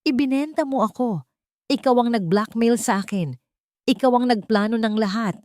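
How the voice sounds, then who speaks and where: A woman's voice: fairly high pitch, normal speed, a little mellow, no room sound, studio quality, pitched above the performer's own voice, close to an everyday voice. A woman voicing a bitter, betrayed man in a story, accusing and emphatic. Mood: angry, accusing.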